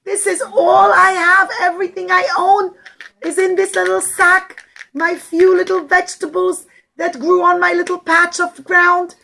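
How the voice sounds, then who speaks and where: A humble, devoted poor man from a children's story, as a woman storyteller puts him on. He speaks earnestly and gently, in a raised, lilting voice. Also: high voice, slow, pitched above the performer's own voice, heavily acted.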